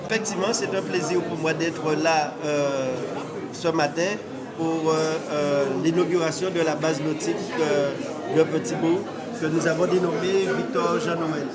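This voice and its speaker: Higher-voiced hesitant official amid loud crowd. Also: male voice, steady tone, unhurried. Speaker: local elected official. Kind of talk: formal interview statement. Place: busy public event. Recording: loud crowd chatter behind voice.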